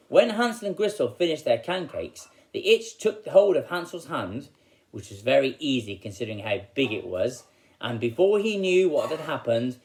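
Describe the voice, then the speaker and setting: A man reading aloud as a storyteller for children, in an expressive style. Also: mid-range voice, poor audio.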